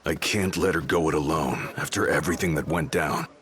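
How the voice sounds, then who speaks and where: A man playing a gruff, protective young bodyguard in a video game cutscene. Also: extremely low pitch, a little fast, fairly bright tone, heavily acted. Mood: steady, earnest.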